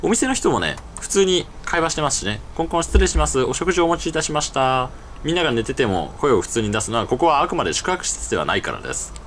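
A man's voice: a little high, very animated, fast, few pauses, fairly bright tone, slight background noise. A young man vlogging his travels casually into a handheld camera, explaining costs and rules in detail, outdoors at night and then in a small internet cafe booth. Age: young adult. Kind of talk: casual travel vlog narration.

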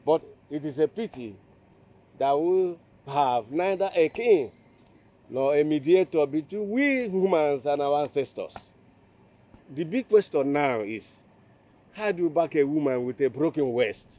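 A man's voice: a little high, animated. A man playing a solemn village elder. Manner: measured, formal speech. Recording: dull lo-fi audio.

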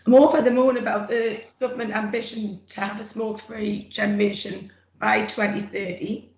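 A middle-aged woman with a rough, gravelly throat, giving an earnest, plain-spoken personal testimony from her notes on a video call. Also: medium pitch, level tone, moderate pace, a little mellow, highs cut off. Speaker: former smoker speaking at a roundtable. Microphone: computer mic on a video call. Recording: echoey room, faint noise.

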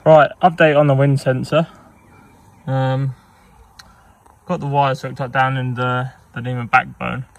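Young man, gravelly mid-pitched voice, easygoing boat-fixing vlogger, rambly and unscripted, outdoor action-cam sound. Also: measured pace. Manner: relaxed, rambling, with frequent ums and self-corrections. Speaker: sailing vlogger. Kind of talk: casual how-to narration while working. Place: on a small sailboat outdoors.

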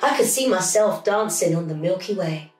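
A middle-aged woman reading a children's story aloud in an expressive, dramatic storytelling style. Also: lowish voice, normal speed. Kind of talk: animated read-aloud storytelling. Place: small home room, indoors. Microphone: camera a few feet away. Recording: echoey room with music underneath.

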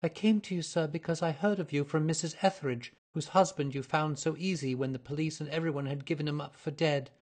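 남자가 연기하는 허둥대며 수다스럽게 말하는 젊은 여성, 높게 올린 목소리, 약간 허스키함. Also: male voice, a little high, steady tone, fairly quick, hardly any pauses, a little mellow, pitched above the performer's own voice, natural voice. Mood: rambling. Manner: eager, rambling, breathless pleading. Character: young woman client, flustered and chatty. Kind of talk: audiobook character reading.

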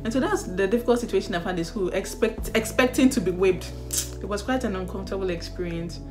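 A woman's voice: medium pitch, fast talker, over music. A young woman with a Ghanaian accent, chatting casually and animatedly as a vlogger, with laughs and sighs. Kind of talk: casual chat.

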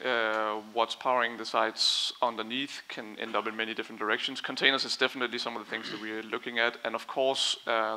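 Bright-voiced man with a creaky edge. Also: mid-range voice. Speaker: company presenter answering audience questions. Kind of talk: conference Q&A, explanatory. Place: conference stage in a large brick and concrete hall. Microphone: headset.

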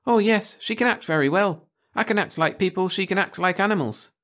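Man as little schoolgirl, high pitch, chirpy, sly, teasing, audiobook character. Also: a little fast, fairly bright tone.

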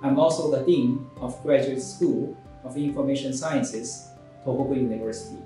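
A middle-aged male professor speaks in a calm, measured way, listing items in short phrases. His voice sits in an ordinary pitch. The room rings with heavy echo, and faint music plays underneath. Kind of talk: formal prepared introduction. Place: bare room with grey wall.

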